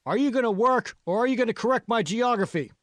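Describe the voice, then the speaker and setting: A man's voice: extremely high pitch, animated, fast, no room sound, voice pushed up. A man voicing a bossy, irritable foreman in an audiobook, coarse and impatient, haranguing his crew. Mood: angry, shouting. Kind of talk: audiobook character dialogue.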